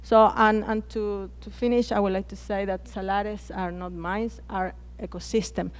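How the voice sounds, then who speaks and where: A woman's voice: mid-range voice, fairly even intonation, normal speed. A Chilean woman whose first language is Spanish, speaking on a conference panel with frequent hesitations and restarted words. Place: conference plenary hall.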